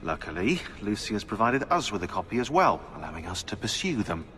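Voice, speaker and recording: An actor playing a sardonic, eccentric British conspiracy researcher turned assassin in a video game, chatty, opinionated and sarcastic. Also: male voice, on the low side, very animated, fairly quick, fairly bright tone. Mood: matter-of-fact. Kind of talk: game cutscene dialogue.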